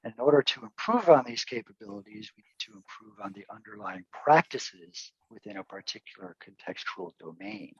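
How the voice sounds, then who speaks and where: A man's voice: on the low side, lively intonation, brisk, creaky, few pauses, slightly rough, dry recording, lo-fi sound. A man introducing a guest speaker as host of an online talk, with a modest, self-deprecating manner.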